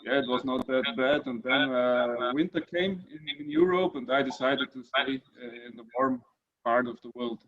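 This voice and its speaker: A man chats in a hesitant way, often pausing on "uh" and restarting his sentences. His voice sits mid-range and comes through a slightly muffled call line. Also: medium pitch, a bit bright. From northern Italy, German-speaking minority. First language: German. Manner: hesitant, many uh fillers and restarts. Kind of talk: casual video call chat.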